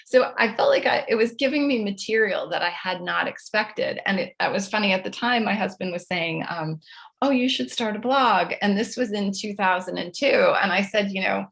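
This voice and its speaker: A woman's voice: medium pitch, normal speed, vocal fry, a bit bright. A middle-aged woman telling chatty, self-deprecating anecdotes as a guest author in a conversational interview.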